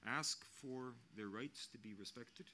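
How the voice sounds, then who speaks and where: A man's voice: mid-range voice, pushed and bright, slight background noise. Earnest older man at a panel desk. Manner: measured, earnest, with frequent restarts and fillers. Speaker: international mission official on a panel. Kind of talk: unscripted panel remarks. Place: press center hall. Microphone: desk microphone.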